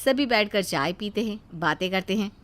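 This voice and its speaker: A woman's voice: medium pitch, animated, normal speed, very noisy. A woman narrating a scripted moral story as its storyteller.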